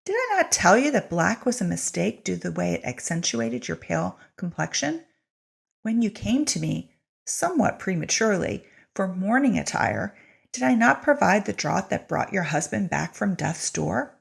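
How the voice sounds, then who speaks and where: A woman's voice: medium pitch, big pitch swings, moderate pace, creaky, breathy edge, heavily acted. A woman voicing a proud, self-assured seamstress from a story, speaking with indignant emphasis. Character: proud, chatty young seamstress accused of witchcraft.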